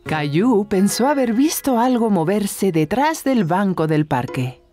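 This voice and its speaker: Kindly female children's story narrator, scripted, medium pitch, expressive melody. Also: a little slow, soft background music. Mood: storytelling.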